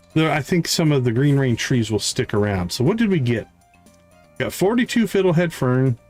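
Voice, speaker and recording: Unhurried male game streamer over soft music. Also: mid-range voice. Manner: talks to himself in short remarks, murmuring repeated words as he thinks. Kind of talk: casual live gameplay commentary.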